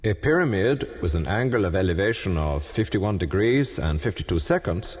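An actor playing a learned physicist, giving precise, lecturing statements for an audio documentary. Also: male voice, low-pitched, animated, moderate pace, a little mellow. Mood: precise, assured. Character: learned male physicist explaining precise findings. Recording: long hall-like reverb.

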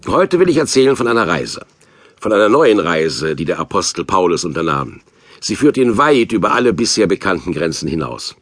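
A man playing a physician who narrates Bible adventures in a measured, reflective way for a children's audio drama. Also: deep voice, sing-song, no room sound, faint music behind.

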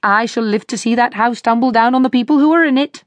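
A shrill, blustering old shoemaker who finds fault with everything, as a woman puts him on. He rants in a high, nagging voice and scolds everyone near him. The storybook reading sounds close and dry. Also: fast talker, no echo, lightly acted. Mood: ranting. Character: grumpy fault-finding old male shoemaker.

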